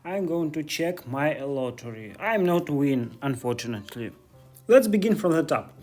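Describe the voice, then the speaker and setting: A man's voice: on the high side, big pitch swings, moderate pace, darkish tone, faint music behind. A man in his thirties, a native Russian speaker with a Russian accent, chatting curiously in broken English as he vlogs from stores on a handheld phone. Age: 30s. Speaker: vlogger. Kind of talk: casual walking vlog. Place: stores in the city.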